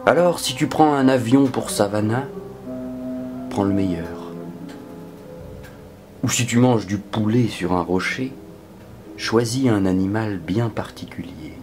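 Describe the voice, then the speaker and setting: A man performing a dramatic spoken-word poetry reading in a solemn, measured manner that can sink toward a whisper. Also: lowish voice, over music. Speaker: poetry reader.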